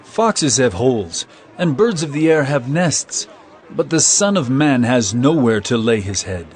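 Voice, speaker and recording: A man's voice: mid-range voice, very animated, unhurried. A man playing an authoritative, compassionate holy teacher in a dramatized audio Bible, solemn and emphatic. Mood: grave.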